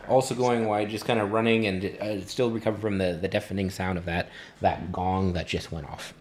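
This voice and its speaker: Man, deep voice, game master, improvised fantasy narration, halting casual explanations. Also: little pitch movement, a little mellow.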